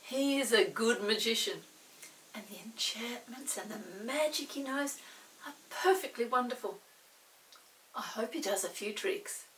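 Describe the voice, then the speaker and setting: A woman's voice: fairly high pitch, expressive, a little slow, fairly bright tone, echoey room, recorded from a distance, a little hiss or hum, poor audio. A woman voicing a merry, gushing male pixie in a children's storybook. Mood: admiring.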